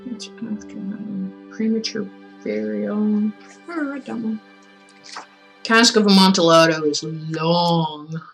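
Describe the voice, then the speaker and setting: Slow-paced casual woman streamer. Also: medium pitch, creaky, soft background music, rough recording. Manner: rambling, musing aloud, informal. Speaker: streamer reading stories aloud. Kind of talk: casual livestream chat.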